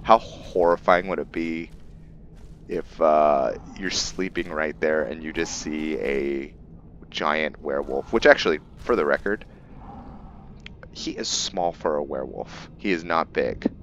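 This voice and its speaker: A man giving casual gameplay commentary on his roleplay playthrough, musing aloud in a halting way. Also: very deep voice, fairly bright tone. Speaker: gamer and streamer narrating his roleplay playthrough. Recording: muffled audio with faint background noise.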